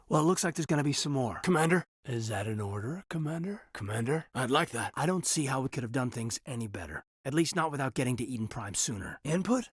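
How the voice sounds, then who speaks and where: A man playing an earnest, dutiful military officer in a video game, speaking in a husky, measured, sincere way. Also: medium pitch, no echo, studio quality. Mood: thoughtful. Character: earnest, dutiful male military officer, reserved and quietly romantic. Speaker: soldier. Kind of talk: video game character dialogue.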